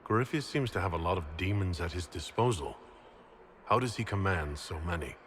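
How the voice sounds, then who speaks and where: A man's voice: deep voice, animated, fairly quick, slight background noise. A man playing a blunt, skeptical hero and leader in a video game, questioning what he is told. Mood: wary, blunt. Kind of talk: dramatic video game voice acting.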